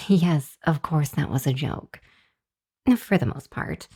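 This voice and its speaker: Woman voicing a hesitant, low-pitched, slow-talking hellhound girl. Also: dark tone, slightly rough, full character voice. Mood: earnest, worried. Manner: hesitant, flustered, halting with sighs and nervous chuckles. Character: shy, earnest young female hellhound demon seeking shelter. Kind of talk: ASMR roleplay addressed to the listener.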